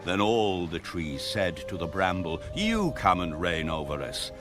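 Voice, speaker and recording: A man narrating scripture in a solemn, measured and dramatic style. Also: deep voice, big pitch swings. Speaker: audio Bible narrator. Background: background music.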